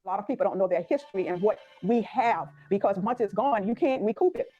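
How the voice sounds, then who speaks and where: A woman's voice: mid-range voice, animated, fast, warm tone. A woman speaking earnestly as a community member in a news interview. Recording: dull lo-fi interview clip.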